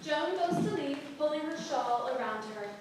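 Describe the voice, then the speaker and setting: A woman with a high voice reads stage directions as scripted narration. She sounds distant, in a very reverberant room with faint noise. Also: animated, normal speed. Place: theatre stage. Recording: roomy echoing stage, distant mic, rumble.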